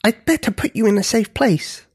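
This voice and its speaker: A small, gentle giant child from a children's storybook, voiced by the narrator. The voice is lifted in pitch, quiet and kindly, with a faintly husky edge. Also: lively intonation, moderate pace. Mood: sympathetic.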